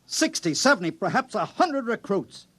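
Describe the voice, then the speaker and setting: An actor playing a stern, arrogant Earth military officer in a 1950s radio drama, with a clipped, commanding delivery. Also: male voice, sits high, sing-song, moderate pace, pushed and bright, breathy edge, heavily acted. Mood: gloating.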